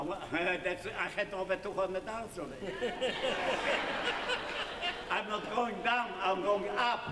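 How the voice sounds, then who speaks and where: An older man with a Polish accent and a high voice works a live charity auction. His phrasing is halting and teasing, full of jovial banter. He is picked up from a distance in a noisy, reverberant hall. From Poland. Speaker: musician running a charity auction. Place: large indoor hall at a live event. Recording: echoey hall, distant mic, clear room noise.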